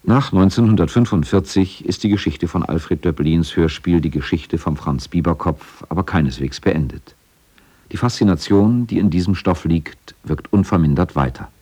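A male documentary narrator reads a scripted radio feature in a low, warm voice. His is measured and factual, with a relaxed, dark timbre. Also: breathy edge.

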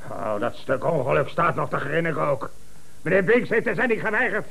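An actor playing an irritable, bossy company boss in a comic radio play. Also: male voice, on the high side, animated, fairly quick, creaky, fairly bright tone, breathy edge, exaggerated voice. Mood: exasperated. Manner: exclaiming, bossy. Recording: 1970s radio play recording.

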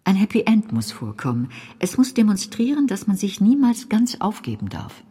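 An actress playing a stern dramaturge in a radio play, laying down rules in a husky, dark, authoritative voice. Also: female voice, mid-range voice, sing-song, moderate pace. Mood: prescriptive. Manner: prescriptive, authoritative. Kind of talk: radio play, declarative statements.

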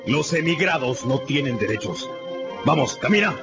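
A harsh French revolutionary acted by a man gives curt orders and interrogates with domineering force. His pitch swings dramatically, and the radio-play sound is muffled, with loud music behind him. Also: lively intonation, normal speed, lightly acted. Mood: scornful, authoritative. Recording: dull lo-fi radio production under loud music.

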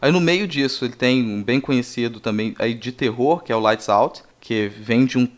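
A man with a Brazilian accent hosting a conversational film discussion, explaining things in a halting way. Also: mid-range voice. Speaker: podcast host.

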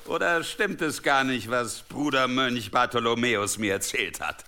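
An actor playing a brash, mocking medieval warrior in a German radio drama, with a sarcastic, needling manner. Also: male voice, medium pitch, normal speed, pushed and bright, natural voice.

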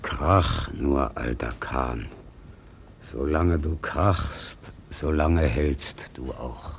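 An actor in a radio drama playing a brooding, defiant seafarer with a gravelly voice and a declamatory manner. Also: male voice, a bass voice, very slow, slight background noise, full character voice. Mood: grim musing. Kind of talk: German radio drama acting.

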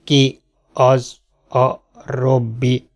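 A man voicing a literal-minded talking robot, polite, with drawn-out, halting words. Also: medium pitch, monotone, no room sound, clean studio sound, faint music behind, close to an everyday voice. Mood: slow, puzzled.

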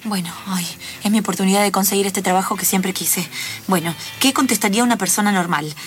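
A woman's voice: mid-range voice, fast, lightly acted. A woman playing a nervous, dreamy, polite job applicant in an improvised radio play. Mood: nervous, hopeful. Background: music.

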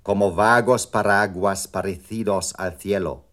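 A man voicing a computer unit in a radio play, reciting poetry with expressive declamation. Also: low-pitched, moderate pace. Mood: lyrical.

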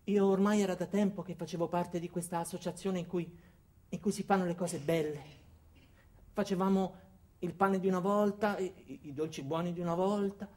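An actor playing an earnest, insecure office man who narrates his own story in a deadpan, hesitant way in a comic radio drama. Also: high-pitched, natural voice.

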